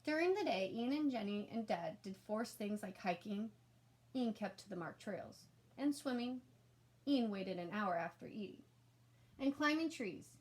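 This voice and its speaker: A woman narrating a children's storybook aloud in an animated, expressive and emphatic way. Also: medium pitch, normal speed, low-quality recording.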